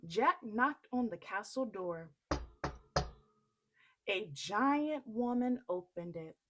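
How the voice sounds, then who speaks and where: A woman reading a children's story aloud in an expressive storytelling style. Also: medium pitch, takes it slowly, muffled recording.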